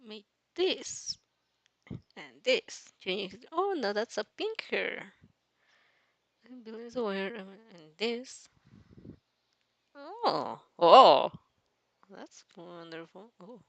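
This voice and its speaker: Giggly, animated woman reading aloud. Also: mid-range voice, drawn-out words, gravelly, pauses often, dry recording. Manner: giggly, easily breaks into laughter while reading; exclamatory, playful. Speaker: reaction host reading fan fiction aloud. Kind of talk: casual reading aloud with commentary.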